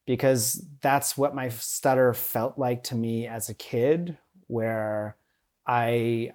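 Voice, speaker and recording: A man speaks as a podcast guest in a casual, thoughtful way. He often repeats words and sounds as he stutters, and his voice sits in an ordinary middle pitch for a man. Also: darkish tone. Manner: stutters, with repetitions and fillers. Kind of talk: casual interview.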